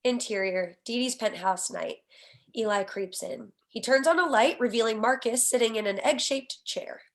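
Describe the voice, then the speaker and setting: A woman's voice: on the high side, normal speed. A woman reading stage directions as scripted narration for a screenplay table read.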